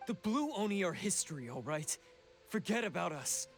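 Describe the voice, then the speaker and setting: Bitter, scornful young oni outlaw. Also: male voice, sits high, very animated, moderate pace. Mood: resentful, resolute. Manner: scornful, sardonic, intense. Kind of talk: anime game voice acting. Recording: music under the voice.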